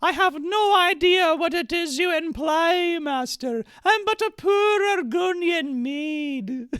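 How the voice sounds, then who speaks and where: A demure reptilian maid from a fantasy stage farce, played by a man in falsetto. The voice sits very high, prim and servile, protesting with exaggerated flustered politeness. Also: takes it slowly, fairly bright tone, dry recording, clean studio sound, exaggerated voice. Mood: feigned innocence.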